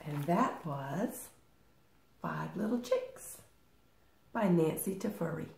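A woman in her sixties hosting storytime, reading aloud to small children with deliberate, expressive, sing-song phrasing. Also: low-pitched, gravelly. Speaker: storytime host. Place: living room, indoors. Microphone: camera a short distance away. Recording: roomy home recording.

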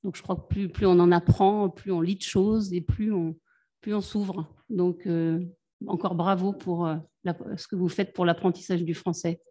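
A woman's voice: on the low side, steady tone, normal speed, warm tone. A middle-aged woman moderating a panel over a video call from a room with bookshelves, speaking in an encouraging, conversational way. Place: home office with bookshelves.

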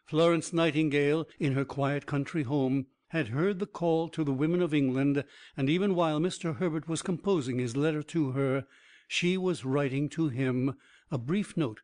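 A man reading a biography aloud as an audiobook narrator, in an even, measured way. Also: a little high.

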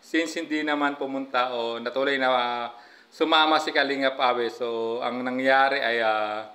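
A man's voice: mid-range voice, steady tone, moderate pace, sharp tone. A middle-aged man commenting over a clip to his viewers in a casual, chatty way, often tagging lines with "no, guys?" into a phone held close. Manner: chatty, frequent filler "no, guys?". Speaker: reaction vlogger.

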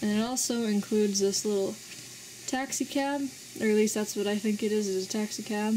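A woman casually reviewing a toy set as host of her channel, relaxed and plainly enthusiastic, pausing often. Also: medium pitch, a little hiss or hum, low-quality recording. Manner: relaxed, plain enthusiasm with frequent filler pauses.

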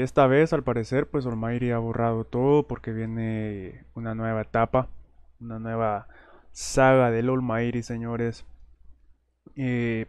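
Smooth, dry-voiced man hosting a news channel. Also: mid-range voice, darkish tone, no echo. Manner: conversational, keeps saying "señores". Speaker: host of an entertainment news channel. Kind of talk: casual screen-share commentary.

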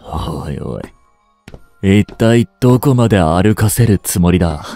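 A man performs a young prince unmasked as a spy, proud and smooth-tongued. The voice is low and unhurried, recorded close and dry. The delivery is formal and theatrical, pleading as in an audio drama. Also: lively intonation, warm tone, dry recording, faint music behind. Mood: weary, defiant. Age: 20s. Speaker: amateur voice actor and voice drama creator. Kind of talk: dramatic Japanese voice drama acting.

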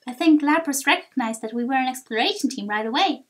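A woman's voice: sits high, lively intonation, fast talker. A woman voicing a childlike, earnest, kindly creature guildmaster in a story video game.

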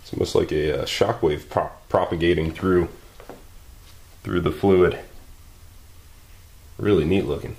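A man's voice: deep voice, steady tone, unhurried, long pauses, a little mellow. A man hosting a maker channel, chatting and joking casually as he works in his garage workshop. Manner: joking, exclaiming and thinking aloud while working.

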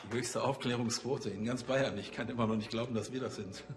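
An actor playing a pompous, self-important senior police officer, giving formal speeches in a TV crime comedy. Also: male voice, mid-range voice, steady tone, fairly quick, over loud music, natural voice. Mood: solemn, self-satisfied.

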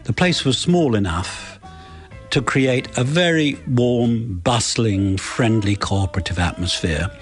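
A man's voice: medium pitch, takes it slowly. A man reminiscing in a radio interview as a company chairman. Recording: vintage radio tape, music behind.

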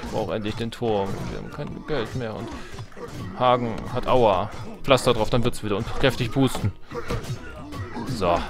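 Low-voiced man commentating a game. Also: moderate pace. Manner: jokey, muttering to himself and the game's characters. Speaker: let's player, host. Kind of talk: casual let's play commentary of a strategy game. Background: game music and sounds.

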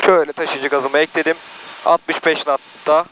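A man's voice: fairly high pitch, flat delivery, moderate pace, sharp tone, low-quality recording. A young man flying as a student pilot, saying each action and radio call aloud in a small plane cockpit over a headset. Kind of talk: radio calls and callouts in flight. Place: small airplane cockpit in flight. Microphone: aviation headset. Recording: cockpit intercom with engine hiss.

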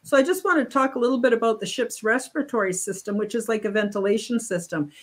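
A woman's voice: fairly high pitch, fast. An older woman talking casually as an interview guest over a video call, rambling with restarts and fillers. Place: home room on video call.

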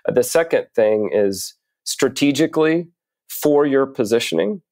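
A man speaking as an interview guest, explaining in a measured, articulate way with deliberate pauses. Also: mid-range voice, expressive, darkish tone, no echo. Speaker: podcast guest, writer and coach.

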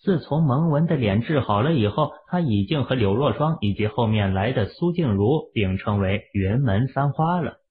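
A man's voice: mid-range voice, animated, moderate pace, hardly any pauses, phone-quality sound, a little hiss or hum. A man reading a story as a scripted, dramatic audiobook narration.

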